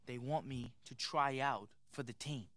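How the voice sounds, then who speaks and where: An actor playing a nervous, eager young basketball hopeful, earnest and rambling, in acted video game dialogue. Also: male voice, medium pitch, measured pace, a bit bright, dry recording, clean studio sound, natural voice. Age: young adult.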